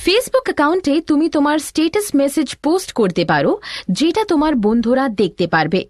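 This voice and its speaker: A woman's voice: high-pitched, very animated, normal speed, a little mellow, no echo, studio quality. A woman playing a helpful elder sister, giving scripted, reassuring instruction in an educational cartoon. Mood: instructive. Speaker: tutorial narrator and teacher.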